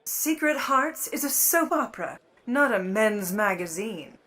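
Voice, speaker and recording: An actress playing a poised, mischievous 1950s starlet in a radio play, arch and teasing. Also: female voice, medium pitch, sing-song, a little slow, fairly bright tone. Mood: arch, amused. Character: poised, mischievous Hollywood starlet and secret burglar.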